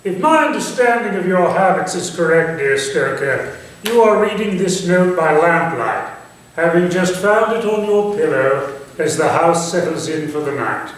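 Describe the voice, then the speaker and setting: A man playing a manipulative, commanding Victorian gentleman villain in a staged thriller, speaking in formal, theatrical phrases. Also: on the high side, expressive. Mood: formal, persuasive. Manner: formal, deliberate, theatrical delivery. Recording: distant, echoey stage recording with faint noise.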